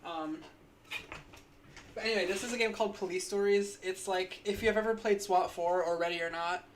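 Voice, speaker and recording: A woman's voice: medium pitch, steady tone, normal speed, slight background noise. A woman in her twenties co-hosting a casual podcast, rambling with lots of likes and ums. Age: 20s. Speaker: podcast co-host.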